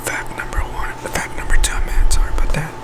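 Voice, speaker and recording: Whispering man, halting ASMR reader. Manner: halting, stumbles over words and self-corrects. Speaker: ASMR channel host. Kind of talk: whispered ASMR, reading a fan article aloud.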